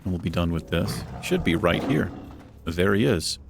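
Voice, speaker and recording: A man with a deep voice hosting a gaming channel, chatting casually and self-deprecatingly while he plays. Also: animated, normal speed, vocal fry, background noise. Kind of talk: casual gameplay commentary. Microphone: desk microphone.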